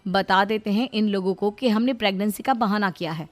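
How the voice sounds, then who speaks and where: A woman's voice: mid-range voice, brisk, natural voice. A woman voicing a worried, earnest young daughter in a cartoon story. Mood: fretful. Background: faint background music.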